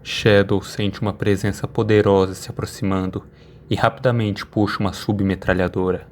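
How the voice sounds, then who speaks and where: A man's voice: fairly low pitch, level tone, normal speed, a little hiss or hum. A man with a Brazilian accent reading dramatic scripted narration.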